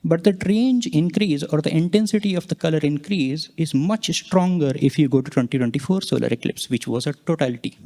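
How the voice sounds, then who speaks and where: Higher-pitched man giving a science talk. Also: mellow. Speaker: conference presenter, researcher. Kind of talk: scientific presentation explaining charts.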